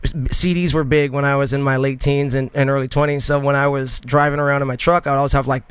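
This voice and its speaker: A man's voice: medium pitch, little pitch movement, quick pace, smooth, no room sound. A man in his thirties hosting a podcast interview, talking casually and rambling with many fillers and restarts. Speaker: podcast host.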